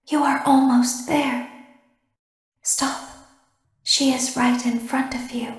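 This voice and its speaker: A woman voicing a calm, eerie female animatronic in a video game, childlike and pleading, speaking with slow, softly insistent measure. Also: high voice. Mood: calm, guiding. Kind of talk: scripted video game voice acting. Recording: roomy, echoing game audio.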